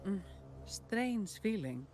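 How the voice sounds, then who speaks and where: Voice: mid-range voice, very animated, drawn-out words, a little mellow, faint music behind. A performer playing a composed, inquisitive investigator in a video game, with an analytical, matter-of-fact manner. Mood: puzzled. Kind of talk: video game dialogue acting.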